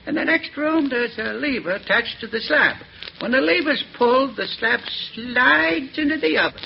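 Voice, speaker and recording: A sinister old henchman in a vintage radio thriller, with a very high, creaky voice. He talks in a folksy, uneducated way, coolly callous. The recording is muffled with faint noise. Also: animated, normal speed, exaggerated voice. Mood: matter-of-fact. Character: sinister, matter-of-fact old crematory worker, a crooked accomplice. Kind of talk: 1940s radio acting.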